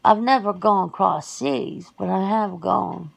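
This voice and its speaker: A woman's voice: medium pitch, measured pace, creaky, low-quality recording. A woman playing a folksy, plain-spoken werewolf sister in an ASMR roleplay, talking casually and colloquially. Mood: frank, reflective.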